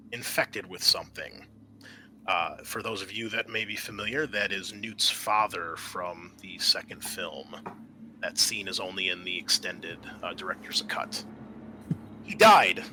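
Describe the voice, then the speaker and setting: Bright-voiced man narrating a tabletop game. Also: lowish voice, a little hiss or hum, poor audio. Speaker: game master. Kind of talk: narrating a tabletop roleplaying game, part scripted, part improvised.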